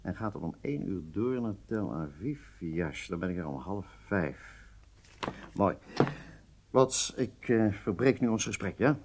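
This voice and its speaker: An actor playing an agitated, businesslike intelligence handler in a radio drama, with halting delivery and emphatic outbursts. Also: male voice, sits low, big pitch swings, a little hiss or hum, exaggerated voice. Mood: stern, upset.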